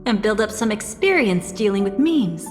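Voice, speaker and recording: A young woman voicing an enigmatic, teasing game character who is composed and calmly fond of danger. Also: medium pitch, normal speed, close to an everyday voice. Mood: measured, ominous. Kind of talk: video game cutscene voice acting. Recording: long echoing reverb, game music behind.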